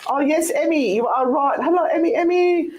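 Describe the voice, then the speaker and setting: An older Australian woman co-hosting a radio show, hoarse from a cold, chatty and joking in studio banter. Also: high-pitched, moderate pace, background noise. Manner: chatty, joking, breaks into laughs. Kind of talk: casual banter on air.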